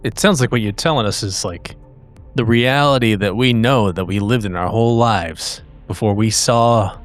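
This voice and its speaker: Halting, wordy man playing a roleplaying game. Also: lowish voice. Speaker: player in a tabletop game. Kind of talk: casual in-game roleplay talk. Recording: music bed behind the voice.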